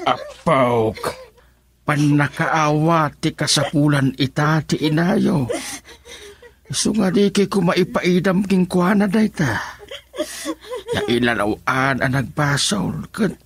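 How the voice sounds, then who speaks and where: An actor with a Filipino accent playing a gentle, forgiving old grandparent in a radio drama, measured and consoling. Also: male voice, a little high. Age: older. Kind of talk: Ilocano radio drama acting.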